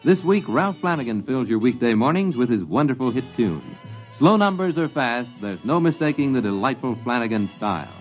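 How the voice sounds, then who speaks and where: A man's voice: mid-range voice, big pitch swings, fairly quick, soft background music. A man with an American accent doing 1950s network radio promo announcing. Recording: muffled vintage radio broadcast.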